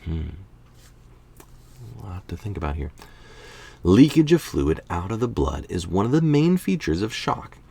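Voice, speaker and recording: Very deep-voiced man reading aloud, gravelly. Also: big pitch swings, a little slow, pauses often, mellow. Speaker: reader of health articles. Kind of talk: reading a scientific article aloud, with casual asides.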